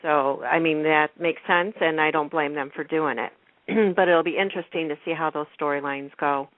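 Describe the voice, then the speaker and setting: A woman radio host chats casually, as if with a friend. Her voice is on the low-fidelity, narrowband sound of a telephone line, dry and close with no room around it. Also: fairly even intonation, normal speed, dry recording. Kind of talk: casual chat.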